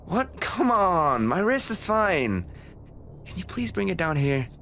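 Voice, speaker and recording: A man's voice: high-pitched, sing-song, takes it slowly, a bit bright, a little hiss or hum, full character voice. A man playing an injured young race-car driver boyfriend, tender and playfully needy, in an intimate roleplay audio. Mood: pleading, whiny.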